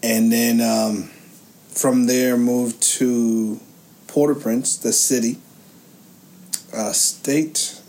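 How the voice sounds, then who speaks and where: A man's voice: medium pitch, little pitch movement, drawn-out words, pauses often, darkish tone, poor audio. A man in his thirties from Haiti co-hosting a podcast, goofy and jokey, rambling through his story. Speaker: podcast co-host. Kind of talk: casual chat.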